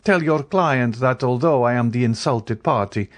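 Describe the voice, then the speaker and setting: Male narrator as aristocratic count, storybook villainous hauteur, mocking, grand declamation, raised swooping pitch. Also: moderate pace, close to an everyday voice. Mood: coldly confident. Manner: haughty, grandly declamatory, formal. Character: proud, theatrical aristocratic count, coldly mocking and menacing.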